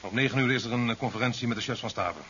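Man playing a brisk, sceptical, forceful president. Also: mid-range voice, close to an everyday voice. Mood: businesslike, official. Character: sceptical, career-minded male president, official and businesslike. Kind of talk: 1970s science fiction radio play acting. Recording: dull 1970s radio recording with hiss.

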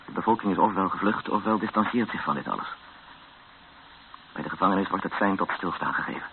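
A captive recounts his ordeal as a radio play narrator. The voice is low and gravelly, with a grave, measured delivery. It comes through a dull, narrow recording with some hiss. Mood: grave, tense. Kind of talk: first-person radio drama narration.

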